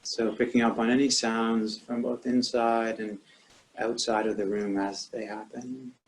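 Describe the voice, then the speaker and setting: A man leading a guided meditation as a teacher, speaking calmly and in a measured way with long pauses. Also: mid-range voice, a little hiss or hum, low-quality recording.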